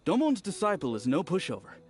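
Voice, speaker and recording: A man voicing a chatty, excitable villager in a video game, telling things in an informal, eager way. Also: high voice, brisk. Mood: impressed. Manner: animated, informal storytelling.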